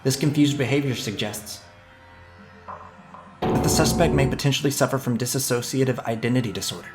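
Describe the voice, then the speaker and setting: A man's voice: medium pitch, a little fast, lightly acted. Earnest teenage boy sleuth acted by a man, anxious yet determined, mid-range voice, game dub narration, roomy recording, music underneath. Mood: studious. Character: earnest, bewildered teenage schoolboy detective, anxious but determined. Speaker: voice actor in a fan dub.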